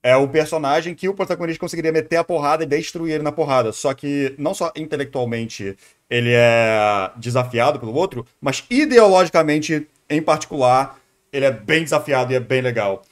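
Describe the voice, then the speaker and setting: A man's voice: fairly high pitch, brisk. A man with a Brazilian accent giving casual, slangy, argumentative reaction commentary as a streamer.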